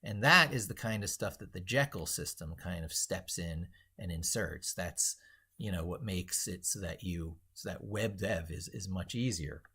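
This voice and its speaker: A man hosting a casual tech livestream, thinking aloud in a rambling, emphatic way with a gravelly, husky voice. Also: deep voice, moderate pace, pushed and bright. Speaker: livestream host.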